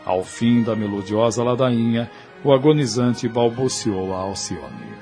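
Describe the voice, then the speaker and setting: Solemn male narrator over soft music. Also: mid-range voice, expressive, a little slow. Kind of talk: scripted audio novel narration.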